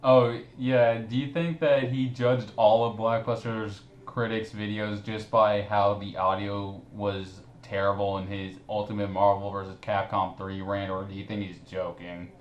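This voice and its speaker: A man's voice: lowish voice, little pitch movement, a little slow, hardly any pauses, dull audio. A man hosting a casual group commentary chat, talking informally with friends. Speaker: host. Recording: roomy echo with some background noise.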